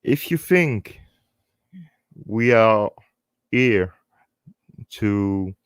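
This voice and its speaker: Slow, low-voiced man with French accent. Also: lively intonation, clean tone, no echo. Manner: halting English with short phrases and repeated words. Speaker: live stream host. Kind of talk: earnest unscripted talk to online viewers.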